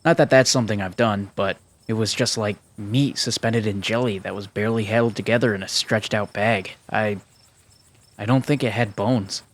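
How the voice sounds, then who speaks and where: A laconic male protagonist voiced by a man in a visual novel. His voice is fairly low, and he talks in a dry, casual, understated way. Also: fairly even intonation, normal speed, close to an everyday voice. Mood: uneasy, dry. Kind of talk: visual novel fan dub.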